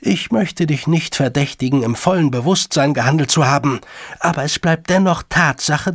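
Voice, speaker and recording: Shrewd snooty gentleman in a radio play, higher pitched voice, creaky, very formal and measured. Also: dry recording, studio quality. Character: shrewd, superior gentleman, elder brother of a detective. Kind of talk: German audio drama acting.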